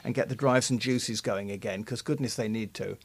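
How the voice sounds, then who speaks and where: A man co-hosting a podcast, with a high, piercing voice and a theatrical, self-mocking way of talking. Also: fairly quick, husky. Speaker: podcast co-host. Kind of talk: casual chat.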